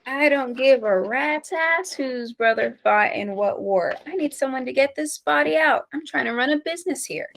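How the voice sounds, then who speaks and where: A woman playing a creaky, brash saloon madam. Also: sits high, expressive, normal speed, hardly any pauses, a bit bright, pitched above the performer's own voice, exaggerated voice. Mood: exasperated. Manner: blunt. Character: fed-up, brash Western saloon keeper woman.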